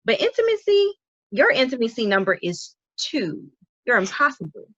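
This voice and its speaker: A woman's voice: on the high side, wildly swooping pitch, moderate pace, a bit bright, no echo, dull audio, full character voice. A woman playing a hurt, exasperated young wife in a dramatic table read, speaking emotionally. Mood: hurt, angry. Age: young adult. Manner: emotional, complaining.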